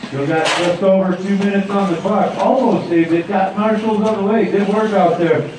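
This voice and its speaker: A man's voice: high voice, normal speed, very echoey, distant mic, slight background noise, poor audio. A man giving live play-by-play commentary at an outdoor dirt track. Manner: steady play-by-play calling. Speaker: race announcer.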